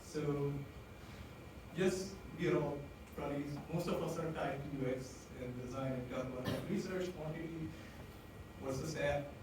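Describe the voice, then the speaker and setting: A man's voice: on the high side, normal speed. A man with an Indian accent telling personal stories to an audience in a conversational, candid way, with many fillers. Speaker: speaker at a design event. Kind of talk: storytelling talk to an audience. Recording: echoey room, distant mic, ambient noise.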